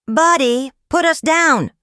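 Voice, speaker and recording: An excitable lady mayor from a children's storybook, acted out by a woman reader. Her voice is raised high and exclaims dramatically. The recording is dry and close. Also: takes it slowly, no room sound, exaggerated voice. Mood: alarmed.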